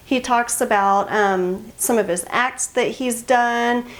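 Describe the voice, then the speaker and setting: Female vlog host for book recommendations: slightly high pitch, enthusiastic, conversational delivery with emphatic praise. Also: slow pace. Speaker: host of a book review channel. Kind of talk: casual scripted book recommendation to camera.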